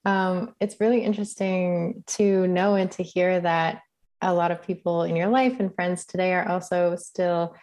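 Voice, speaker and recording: A woman interviewing a guest, asking long, gentle probing questions. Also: on the low side, steady tone, normal speed.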